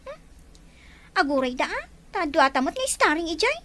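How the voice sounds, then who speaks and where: Vain whiny young lady, really high squeal of a voice, radio drama. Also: sing-song, normal speed, a bit bright, a little hiss or hum, heavily acted. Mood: fussy, insistent. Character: fussy, vain young daughter, maid of honor.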